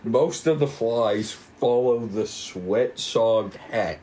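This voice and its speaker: Low-voiced man reading a script, slight noise. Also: very slow, dark tone. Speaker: narrator of script table read. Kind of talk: reading stage directions aloud. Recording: noisy room recording.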